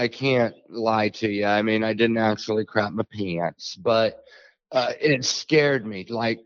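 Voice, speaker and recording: A man, telling a frightening encounter as a podcast guest, halting with many fillers. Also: no room sound. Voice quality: deep bassy voice. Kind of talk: storytelling an encounter. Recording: dull lo-fi dry sound.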